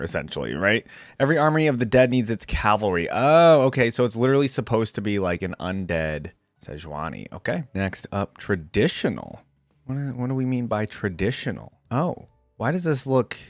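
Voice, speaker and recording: A man's voice: medium pitch, dry recording, studio quality. A man giving casual reaction commentary as a video host, thinking aloud as he goes.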